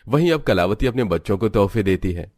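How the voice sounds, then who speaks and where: A man reading scripted narration for an animated family story. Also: lowish voice, a little fast, no echo.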